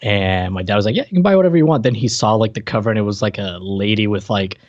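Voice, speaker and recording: A man's voice: lowish voice, fast, no echo, muffled recording. A man chatting casually as a podcast host, with a joking, teasing manner. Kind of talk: casual chat.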